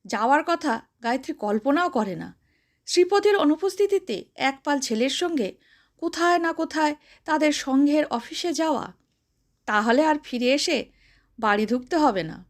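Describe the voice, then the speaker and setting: উঁচু গলার মহিলা গল্পকথক. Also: female voice, sits high, animated, moderate pace. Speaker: storyteller. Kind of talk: expressive narration of a Bengali short story.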